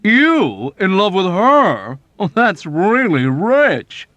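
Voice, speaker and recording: Pompous, jovial officer with a booming laugh, pitched up and loud, drawn-out delivery, voiced by a man. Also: big pitch swings, no echo, dull audio, heavily acted. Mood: smug jovial. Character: smug, chubby, jovial male army officer.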